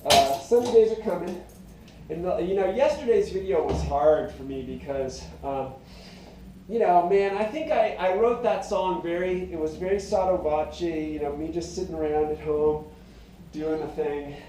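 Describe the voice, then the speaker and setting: A man's voice: moderate pace, dark tone, a little hiss or hum. Reflective man, very echoey room. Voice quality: high tenor voice. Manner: meandering, reflective, many fillers and restarts. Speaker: singer-songwriter. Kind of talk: rambling casual monologue. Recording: very echoey room, mic at a distance.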